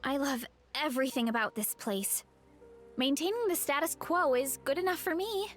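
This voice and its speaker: Casting: sweet, earnest young game heroine played by a woman, high gentle voice, faint music underneath. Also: moderate pace, close to an everyday voice. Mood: hesitant, earnest. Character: earnest, cheerful young woman who loves food and her tribe. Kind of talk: video game voice acting.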